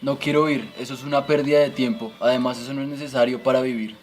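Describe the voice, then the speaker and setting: A man's voice: mid-range voice, steady tone, quick pace, a little mellow, natural voice. A man playing a reluctant, sceptical young son who questions things in an amateur radio drama. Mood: defiant.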